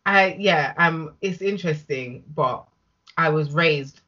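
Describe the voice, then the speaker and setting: British female interviewee, husky tone, candid and emphatic, slightly muffled lo-fi recording. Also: low-pitched, slow pace, pushed and bright. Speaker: interview guest, business owner. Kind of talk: casual conversational interview.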